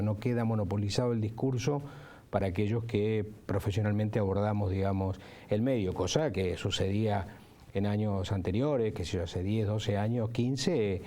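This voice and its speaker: A man's voice: fairly low pitch, dark tone, slight background noise. A man who works in radio, talking reflectively in an interview. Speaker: radio professional.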